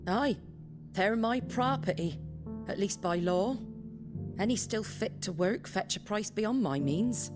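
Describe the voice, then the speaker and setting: An actress playing an earthy, compassionate innkeeper rebel in a video game, with a regional English accent and plain, folksy speech. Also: female voice, mid-range voice, animated, a little slow, lightly acted. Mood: grave, resolute. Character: Martha, earthy outspoken innkeeper woman, secretly compassionate rebel. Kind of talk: dramatic video game acting. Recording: music behind the voice.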